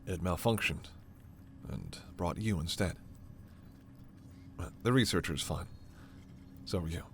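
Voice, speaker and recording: Man acting a slow, low-voiced alien lizard doctor. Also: lively intonation, vocal fry, slight background noise, exaggerated voice. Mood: measured explaining. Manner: formal, measured, precise diction. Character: male alien lizard-feathered doctor, stern, authoritative yet reassuring scientist. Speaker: voice actor in audio roleplay. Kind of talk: ASMR sci-fi roleplay acting.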